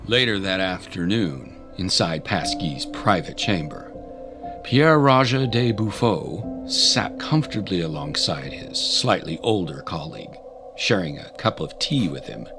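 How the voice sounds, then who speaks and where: A man's voice: sits low, animated, measured pace, over music. A man narrating a scripted dramatic story.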